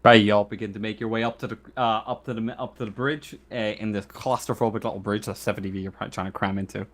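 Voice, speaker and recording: A man's voice: fairly low pitch, little pitch movement, fairly bright tone. A man speaking as game master of a tabletop game, unscripted, hesitant and often correcting himself. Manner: hesitant, halting, self-correcting.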